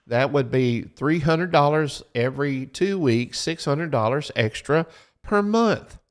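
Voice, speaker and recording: A man's voice: a little high, expressive, a little slow. A man with a slightly raised voice talks to his viewers like a preacher at a pulpit. His delivery is folksy and repetitive, circling back to his points. He keeps telling people to write things down. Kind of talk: preaching-style financial advice talk.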